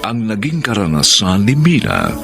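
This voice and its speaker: A man's voice: fairly low pitch, wildly swooping pitch, moderate pace, vocal fry. A man narrating a radio drama as its announcer, in a scripted, dramatic style. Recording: loud music under the voice. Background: guitar music.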